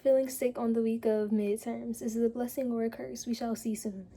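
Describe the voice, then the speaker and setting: A woman's voice: on the high side, steady tone, fairly quick, mellow. A young woman vlogging casually while sick, her voice weak, chatting with lots of fillers and switching between English and learner Korean. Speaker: vlogger and language student.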